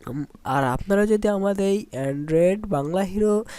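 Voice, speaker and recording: A man walks through a phone app step by step. His voice is soft-edged and dark, recorded close and dry. He explains in an instructional way and often says a phrase over again. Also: high voice, lively intonation, measured pace, clean tone, no room sound. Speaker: tech tutorial host. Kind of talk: spoken step-by-step app tutorial.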